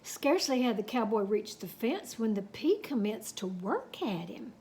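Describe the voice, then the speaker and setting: A woman reading a children's story aloud in an expressive, folksy, dramatic way with chatty asides. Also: on the high side, moderate pace.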